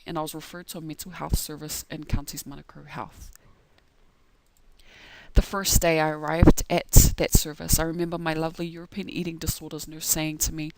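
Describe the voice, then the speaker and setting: A woman's voice: sits low, dry recording, rough recording. Young New Zealand woman presenting. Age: young adult. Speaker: seminar speaker, community organiser. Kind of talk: prepared personal story presentation.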